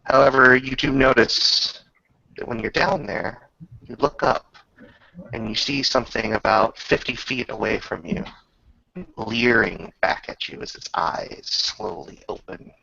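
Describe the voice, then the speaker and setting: A man narrating a tabletop roleplaying game as game master, descriptive and measured, often pausing mid-sentence. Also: mid-range voice, creaky, pushed and bright. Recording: dull lo-fi recording.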